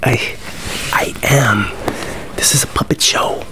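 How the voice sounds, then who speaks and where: Male ASMR puppeteer, 30s. Close, slow whisper, placating and gentle in a comic skit. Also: medium pitch. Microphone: close-up microphone.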